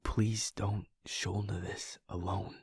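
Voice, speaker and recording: A caring werewolf boyfriend, played by a man speaks slowly and earnestly in a low, husky voice, often dropping close to a whisper. The audio roleplay is recorded close to the mic in a dry room with no echo. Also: little pitch movement, heavily acted. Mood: tender, reassuring.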